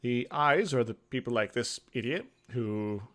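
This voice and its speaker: A man's voice: mid-range voice, animated, a little slow, a bit bright. A man hosting a gaming video, switching between dramatic reading of game text and casual, rambling commentary. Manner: hesitant, rambling asides between theatrical narration.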